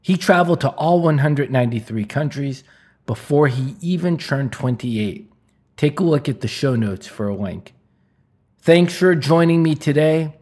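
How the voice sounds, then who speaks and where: A man hosting a travel podcast, reading a scripted introduction and then asking his guest curious, measured questions. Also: a little high, lively intonation, darkish tone. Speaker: podcast host.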